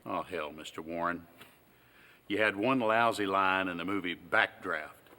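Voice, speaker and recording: A man playing a patient, shrewd small-town sheriff in a film, halting and folksy in a deadpan, polite way. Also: deep voice. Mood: dry, methodical. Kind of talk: naturalistic film acting.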